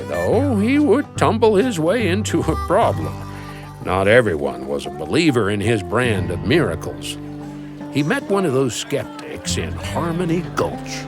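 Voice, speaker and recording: Male actor as wry Old West storyteller, extremely expressive pitch, folksy scripted narration, music behind. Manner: folksy, dramatic.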